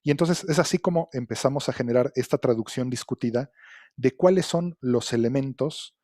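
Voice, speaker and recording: Middle-aged male lecturer, dark mellow tone, deliberate explanatory delivery, dry sound. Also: medium pitch, no echo. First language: Spanish. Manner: measured, explanatory, with frequent fillers and "¿no?" tags. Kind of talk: academic lecture.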